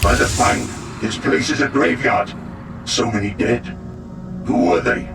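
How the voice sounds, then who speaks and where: Very loud music surrounds a distant, echoing voice. It is a fierce, proud space warrior from a video game, gravelly and solemn, with pitch that swings widely as he declaims like one sworn to battle. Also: a little slow. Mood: grim awe. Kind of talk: dramatic video game acting.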